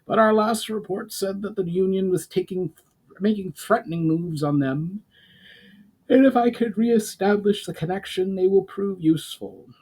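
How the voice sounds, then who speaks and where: A man voicing an earnest, courtly dwarf envoy in a fantasy storybook, with a measured, formal manner. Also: high voice, a little mellow, breathy edge, pitched above the performer's own voice, low-quality recording. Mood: earnest, explaining.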